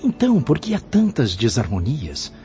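A man's voice: medium pitch, wildly swooping pitch, normal speed, mellow, soft background music. An actor playing a troubled, questioning male spirit pupil in a radio drama. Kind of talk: Brazilian radio drama acting. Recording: dull audio with background noise.

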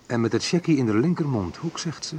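An actor playing a wistful man recounting his youth in a reflective, measured radio play narration, with a slightly husky voice. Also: mid-range voice, lively intonation, background noise, natural voice. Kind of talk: literary first-person radio play narration.

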